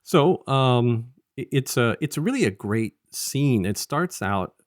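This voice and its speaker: A man co-hosting a casual review podcast, talking in a halting, rambling way and often restarting his sentences. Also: medium pitch, lively intonation, no echo, clean studio sound. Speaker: podcast co-host.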